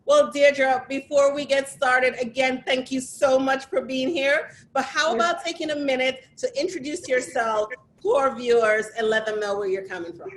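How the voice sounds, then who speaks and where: Woman hosting a video chat, high voice, chirpy and welcoming, bit of faint noise behind. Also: fairly even intonation, moderate pace, hardly any pauses. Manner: upbeat, welcoming, gushing thanks. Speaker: host. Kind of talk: video call interview. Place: New York City.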